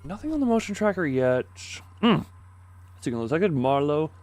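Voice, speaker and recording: A man's voice: a little high, sing-song, normal speed, a little mellow. A man streaming a video game with casual, wry commentary, often muttering to himself as he plays.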